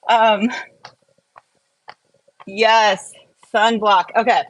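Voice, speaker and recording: A woman's voice: mid-range voice, level tone, extremely slow, no room sound, highs cut off. A woman vlogging in a casual, chatty question-and-answer and review style, rambling and often interrupting herself as she gets distracted. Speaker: skincare and wellness vlogger.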